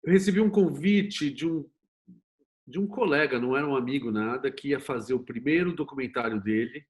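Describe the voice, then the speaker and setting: A man's voice: mid-range voice, fairly quick. A middle-aged man with a Brazilian Portuguese accent, telling his own story candidly as an interview guest. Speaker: interview guest, screenwriter and producer.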